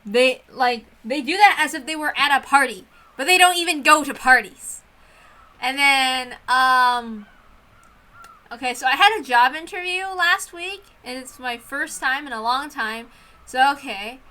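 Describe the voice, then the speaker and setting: A woman with a high, very bright voice talks casually on a livestream. She rambles, breaks off her own sentences and starts again, with an apologetic manner. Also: lively intonation, a little slow. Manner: rambling, apologetic, self-interrupting. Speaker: streamer who reads visual novels aloud.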